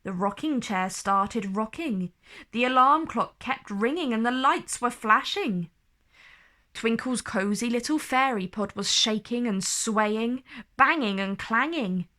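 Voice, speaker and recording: Female children's storyteller: slow, careful read-aloud pacing, highly animated, theatrical.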